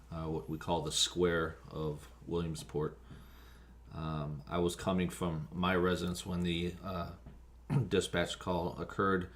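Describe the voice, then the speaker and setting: An American man, a fire officer being interviewed, recounting events haltingly with frequent ums and pauses. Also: low-pitched, a little hiss or hum. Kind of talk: spoken account of an emergency call, interview.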